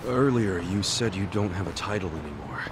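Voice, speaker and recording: An actor playing a loyal young former yakuza who talks in a lively, earnest way. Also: male voice, sits low, moderate pace, noisy background. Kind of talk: video game cutscene dub.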